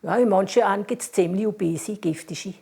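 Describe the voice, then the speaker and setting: A woman's voice: mid-range voice, normal speed, vocal fry, mellow. Casting: Alsatian woman, museum volunteer, plain homely answers, mid voice. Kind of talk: quick-fire interview answers.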